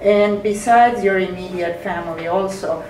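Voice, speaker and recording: A woman's voice: mid-range voice, normal speed, a little mellow. A woman making an emphatic, insistent comment from the audience of a lecture room, picked up from far off with echo. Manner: emphatic, insistent, repeats herself. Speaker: audience member. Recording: distant, echoey room mic with noise.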